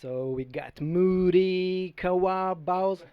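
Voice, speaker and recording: Man, co-host, playful banter, slightly high voice, muffled sound, loud electronic music behind. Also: takes it slowly, crowd noise. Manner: playful, joking, stumbles over names. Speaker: co-host of a live music show. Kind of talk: casual banter.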